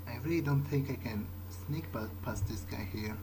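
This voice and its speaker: A man's voice: mid-range voice, moderate pace, background noise. A man playing a video game in character, thinking aloud in a hesitant, musing way as he goes. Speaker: gamer roleplaying in character.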